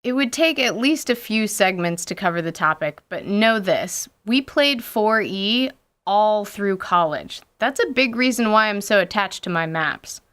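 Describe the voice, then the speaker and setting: A woman with a smooth, clear voice hosts a podcast in a storytelling style. Her pitch sits in the middle for a woman, and she delivers scripted narration with wry, casual asides and the odd swear word. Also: animated, a little slow, fairly bright tone. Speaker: podcast host.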